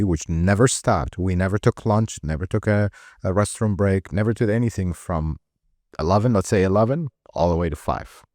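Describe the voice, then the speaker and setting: A middle-aged mechanic from Iraq, talking earnestly in a casual conversation, often repeating and restarting words. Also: male voice, low voice, darkish tone, no echo, clean studio sound. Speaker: mechanic and shop owner.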